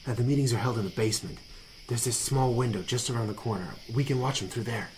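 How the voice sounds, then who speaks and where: Actor as a sly young turncoat, echoey. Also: male voice, medium pitch, fast talker, a little mellow, close to an everyday voice. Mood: helpful, nervous. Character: sly young male informant, a villain's treacherous son. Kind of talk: noir audio drama acting. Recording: echoey space, faint background noise.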